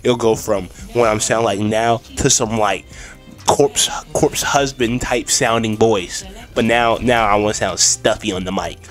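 A man's voice: lowish voice, unhurried. A young man with a stuffy, sick-sounding voice chatting casually to viewers while he plays, recorded on a desk mic at home. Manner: chatty, talks to himself and viewers while playing. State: stuffy from a cold. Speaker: gaming streamer. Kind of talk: casual gameplay commentary. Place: home room. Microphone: desk mic with headset. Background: game music and sound effects.

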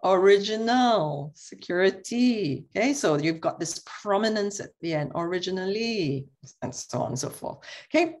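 A middle-aged woman with a Singapore English accent giving a brisk online linguistics lecture, often checking in with "okay?". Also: medium pitch, animated, a little mellow.

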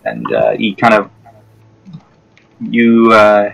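Casting note: male dungeon master, low voice, casual narration, jokey. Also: sing-song, slow, creaky, fairly bright tone, low-quality recording. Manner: joking, narrates with fillers. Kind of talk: narrating a tabletop game.

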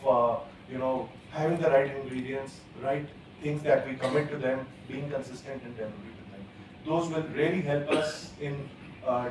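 A man, speaking as a panelist at a conference in a measured way, with many pauses and fillers. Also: on the high side, darkish tone, slight background noise. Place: large conference hall, at a panel table. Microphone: table gooseneck mic. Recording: echoey hall, distant from the mic.

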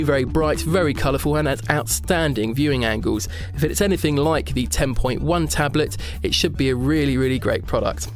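Upbeat man narrating over music. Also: on the high side. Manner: upbeat, enthusiastic product rundown. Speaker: tech show presenter.

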